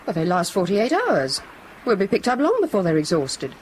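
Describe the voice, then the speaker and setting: An actress playing a strong-willed, caring airline stewardess in a radio drama, questioning and insistent in manner. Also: female voice, medium pitch, huge pitch swings, normal speed, a little mellow, slight background noise. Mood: anxious.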